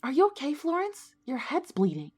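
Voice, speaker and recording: A woman's voice: high-pitched, huge pitch swings, moderate pace, darkish tone. A woman playing an anxious schoolgirl who narrates her own ghost story in an audio drama. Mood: frightened urgency. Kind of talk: scripted audio drama, first-person narration and dialogue.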